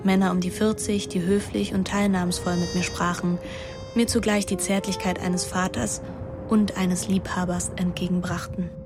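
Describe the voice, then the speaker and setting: An actress playing a frank, teasing young daughter who also narrates a radio play. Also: female voice, lowish voice, level tone, moderate pace, close to an everyday voice. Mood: reflective. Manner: direct, dry, teasing. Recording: music behind the voice.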